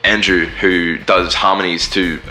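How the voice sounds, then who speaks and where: A man's voice: sits low, level tone, unhurried, sharp tone, faint music behind. A man, a band singer and guitarist, rambling casually in an interview. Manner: rambling, many fillers and restarts.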